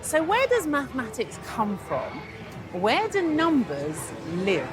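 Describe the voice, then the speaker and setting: A woman in her thirties presenting a documentary in clear, measured scripted narration. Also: sits high, wildly swooping pitch, over loud music. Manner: clear, measured, thoughtful delivery.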